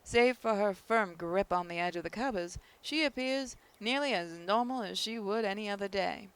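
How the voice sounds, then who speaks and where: Woman reading story narration, creaky voice. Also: mid-range voice, moderate pace, no room sound. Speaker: narrator, video game player reading aloud. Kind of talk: expressive reading of visual novel narration.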